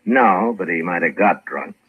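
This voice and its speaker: Through a narrow, muffled old radio recording comes a calm American lawman of the Old West. His voice is deep and a little rough. He speaks with sly, dry understatement in classic 1950s radio Western fashion. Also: no echo. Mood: dry, needling. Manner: dry, unhurried, slyly understated.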